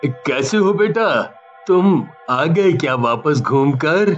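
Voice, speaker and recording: A man's voice: fairly high pitch, lively intonation, slow, lo-fi sound, soft background music. A man playing a concerned middle-aged father speaking earnestly to his son in a cartoon story. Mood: concerned, affectionate. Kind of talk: Hindi cartoon story acting.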